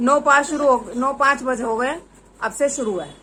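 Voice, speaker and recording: A woman's voice: sits high, moderate pace, pushed and bright. A woman laying down the rules of a family challenge in casual banter. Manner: bossy, sets the rules. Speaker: family vlogger. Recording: noisy home recording.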